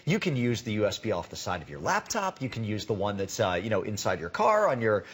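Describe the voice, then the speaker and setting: Animated man pitching a product, emphatic. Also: fast, breathy edge. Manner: emphatic, repeats words, conversational explaining. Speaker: product expert presenting on TV shopping. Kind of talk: live home shopping product demonstration pitch.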